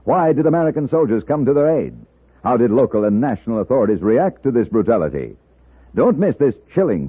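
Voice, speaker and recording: A man's voice: mid-range voice, slightly rough. A man announcing on 1950s radio in a scripted, dramatic and emphatic style, on a tinny old broadcast.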